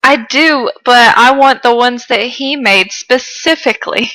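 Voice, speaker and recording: Slow-talking, bright-voiced young woman. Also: on the high side, rough recording. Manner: playful, informal banter. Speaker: podcast co-host. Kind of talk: casual comedic chat.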